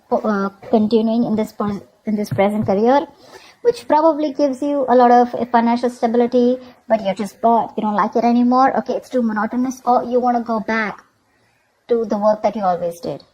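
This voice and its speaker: A woman's voice: fairly high pitch, moderate pace, mellow, lo-fi sound. A woman giving an improvised tarot reading, speaking conversationally to the viewer and often checking in with little tag questions. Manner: conversational, often checking in with okay and you know. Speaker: tarot reader and channel host.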